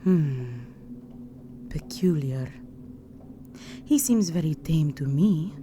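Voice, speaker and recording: A woman voicing an imperious, seductive vampire countess in a scripted audio roleplay, poised, commanding and softly menacing. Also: low-pitched, lively intonation, takes it slowly, slight background noise. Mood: cool, curious.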